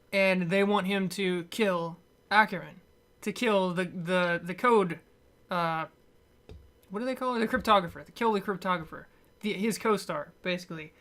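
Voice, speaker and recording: A man's voice: high voice, fairly bright tone. A man delivers an unscripted, conversational movie rant. He rambles and stumbles as he looks for the right word, dropping wry, dismissive asides along the way. His voice sits at an ordinary, unforced level. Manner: rambling, halting, stumbling over words. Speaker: amateur movie reviewer.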